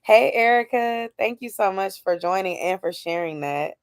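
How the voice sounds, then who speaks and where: A woman's voice: mid-range voice, expressive, normal speed, no echo, studio quality. A woman in her late twenties, chatting candidly and thinking aloud about her plans while answering comments from her viewers. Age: 20s. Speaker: YouTuber doing a weekly check-in. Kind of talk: casual chat with a live chat.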